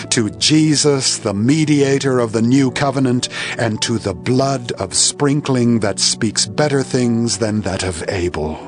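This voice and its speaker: A male narrator reads scripture in a solemn, formal style. His voice sits at a medium pitch, and his delivery is measured, with dramatic emphasis on key phrases. Music plays behind him. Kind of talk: solemn scripted Bible reading. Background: background music.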